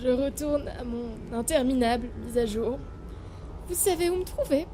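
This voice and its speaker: Irritable female crew member played by a woman, high-voiced, dramatic grumbler in a sci-fi audio drama. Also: normal speed, dark tone, lightly acted. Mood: exasperated. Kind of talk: French audio drama acting.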